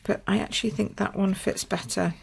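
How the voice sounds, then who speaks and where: A woman from the UK, slightly croaky, demonstrating a papercraft project at her table in a chatty, think-aloud way. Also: medium pitch, steady tone, a little fast. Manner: chatty, thinks aloud with fillers and small apologies. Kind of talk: casual craft tutorial. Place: indoors at a craft table.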